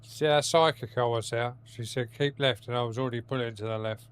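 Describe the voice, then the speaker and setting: A man's voice: medium pitch, steady tone, fairly quick, clean tone. A man streaming a game from his home setup, talking casually with a blocked nose and a rambling, sweary manner. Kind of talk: casual live-stream chat while gaming.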